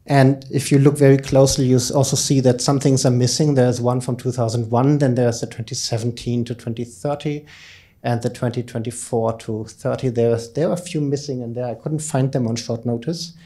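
Mellow-voiced man giving a conference talk. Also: mid-range voice, steady tone, brisk. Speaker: keynote speaker, academic researcher. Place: conference lecture room, indoors, at a lectern. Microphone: handheld microphone.

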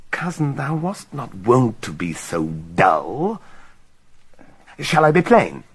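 A man's voice: mid-range voice, wildly swooping pitch, slow pace, breathy edge, full character voice. An actor playing a scheming, ruthless, volatile king in a theatrical, commanding, abrupt Shakespearean radio drama style. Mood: sly, impatient.

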